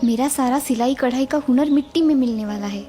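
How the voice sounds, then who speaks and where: A woman's voice: high voice, fairly quick, warm tone, faint music behind, lightly acted. A woman voicing a young village wife who is fed up with housework and complains sarcastically. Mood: complaining.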